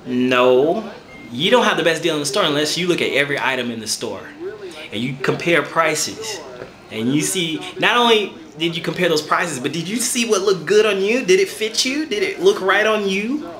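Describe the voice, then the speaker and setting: A man's voice: a little high, lively intonation, normal speed, a bit bright, echoey room. A man giving an earnest, encouraging talk to camera, repeating words as he thinks. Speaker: spiritual vlogger.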